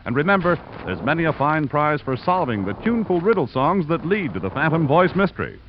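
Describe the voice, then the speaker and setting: A man's voice: on the high side, sing-song, a little fast. An American man announcing in vintage radio style, delivering polished promotional copy as the show's announcer. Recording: muffled vintage radio recording, faint noise.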